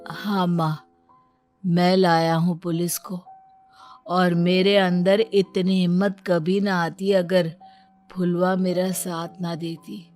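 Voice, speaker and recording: A woman's voice: fairly low pitch, little pitch movement, slow, a little mellow, faint music behind. A woman voicing a resolute, wounded young stepson in a moral story. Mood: defiant.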